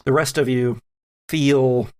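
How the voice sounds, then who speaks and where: A man narrating a tabletop horror game as game master, deliberate and suspenseful, with frequent dramatic pauses. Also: medium pitch, fairly even intonation.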